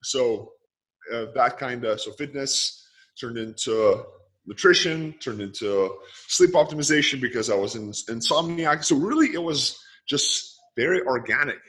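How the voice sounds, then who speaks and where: A man speaks as a podcast guest in easy, casual talk. He is a coach and lays out his points in quick, stacked lists. His voice sits at an ordinary middle pitch. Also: animated, fairly bright tone, poor audio. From Lithuania. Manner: quick, lists ideas one after another.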